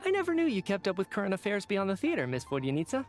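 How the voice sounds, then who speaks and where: A man playing an earnest, chatty young government researcher in a video game, oblivious and expressive. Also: high voice, very fast, soft background music.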